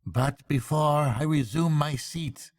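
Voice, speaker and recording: A grandfather doing a smug, squeaky weasel speechmaker. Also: slow pace. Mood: smug, mocking. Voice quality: high, squeaky. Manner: mock-pompous oratory.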